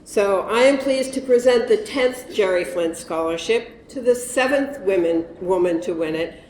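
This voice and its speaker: A woman's voice: mid-range voice, lively intonation, normal speed, a little mellow, slight background noise. A woman giving short, plainspoken remarks to present a scholarship in a luncheon hall. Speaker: award presenter, widow of the fellowship's namesake. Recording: echoey banquet room, faint ambience.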